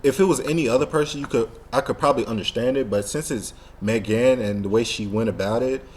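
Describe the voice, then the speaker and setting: A man's voice: mid-range voice, fast talker, a little mellow, a little hiss or hum. A man hosting a casual fan discussion, talking in a slangy, rambling, sometimes profane way. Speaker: show host.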